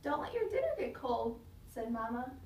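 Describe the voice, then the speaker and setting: A woman teacher reading a children's story aloud in a dramatic, expressive way, pausing to question her listeners. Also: a little high. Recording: roomy, distant mic, faint noise.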